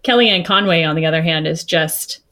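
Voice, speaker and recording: A woman's voice: deep voice, brisk, a bit bright. A woman co-hosting a casual podcast, laughing often and cracking sarcastic jokes. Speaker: podcast co-host.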